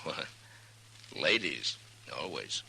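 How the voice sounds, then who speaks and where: An actor playing a steady, shrewd frontier marshal in an old radio Western, plain-spoken and measured, with an American accent. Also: male voice, extremely low pitch, vocal fry, slight background noise, exaggerated voice. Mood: coaxing. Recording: old radio recording with background music.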